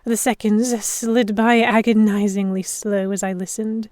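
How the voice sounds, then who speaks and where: Woman narrating a dramatic story, mid-pitched. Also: flat delivery, measured pace, a little mellow, dry recording. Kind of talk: first-person dramatic audiobook narration.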